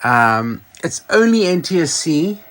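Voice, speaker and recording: A man's voice: fairly high pitch, very animated, a little slow, a bit bright. A man casually presenting a piece of vintage electronics, rambling informally and correcting himself as he goes. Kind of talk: casual product show-and-tell.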